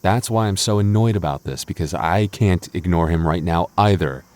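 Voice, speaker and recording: A man's voice: low-pitched, moderate pace, darkish tone, lightly acted. A man playing a jealous, protective young boyfriend in an intimate audio roleplay, defensive and stammering but loving. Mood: annoyed, possessive. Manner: stammering, defensive, teasing asides.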